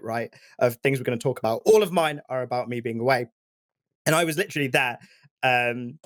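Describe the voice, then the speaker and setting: A man's voice: medium pitch, no echo, studio quality. A man co-hosting a podcast, telling stories casually with a slightly scratchy throat from a cold. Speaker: podcast co-host.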